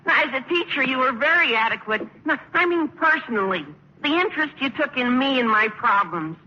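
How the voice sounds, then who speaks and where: A man playing an earnest, gushing, tactless high school boy in a radio sitcom, with a cracking adolescent voice and flowery phrasing. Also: lively intonation, a little fast. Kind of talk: 1950s radio sitcom acting. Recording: dull, noisy vintage radio recording.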